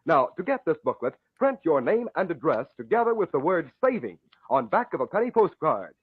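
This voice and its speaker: A man's voice: fairly high pitch, big pitch swings, a little fast, husky, dry recording, narrow, tinny audio. A man announcing commercials in polished, emphatic 1940s radio style, on an old broadcast recording. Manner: polished, emphatic sales delivery.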